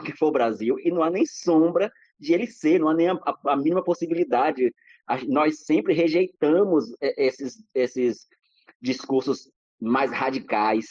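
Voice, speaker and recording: A man's voice: medium pitch, darkish tone, no room sound, dull audio. Brazilian man, reflective and discursive. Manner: discursive, many restarts and fillers. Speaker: podcast guest. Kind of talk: reflective casual talk.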